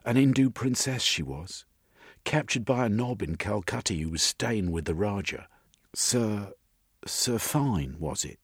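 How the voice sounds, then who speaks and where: A man's voice: on the low side, expressive, unhurried, breathy edge, no echo, studio quality, pitched above the performer's own voice, full character voice. A man voicing a shy, uneducated young London woman of eighteen in an audiobook, speaking in simple dialect phrases. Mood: wistful. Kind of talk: audiobook character voice.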